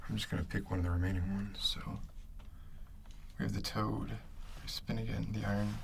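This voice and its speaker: A man voicing a smug, menacing gang leader in a soft, intimate ASMR roleplay, speaking low and haltingly with mock kindness. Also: fairly even intonation, gravelly, slight background noise. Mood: pleased, playful. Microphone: close ear-to-ear ASMR microphone.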